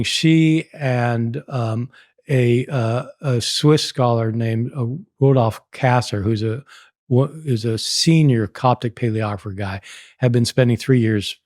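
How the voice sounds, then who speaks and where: A man's voice: mid-range voice, darkish tone, no room sound, clean studio sound. Chatty older American man telling a story. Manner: chatty and anecdotal, laughs often. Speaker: guest scholar. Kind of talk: storytelling in a podcast interview.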